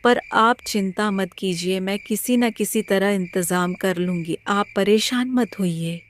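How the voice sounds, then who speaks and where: A woman playing a kind, selfless young married village woman in a moral story, gentle and reassuring. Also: medium pitch, unhurried, slight background noise, natural voice. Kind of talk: dramatized Hindi moral story.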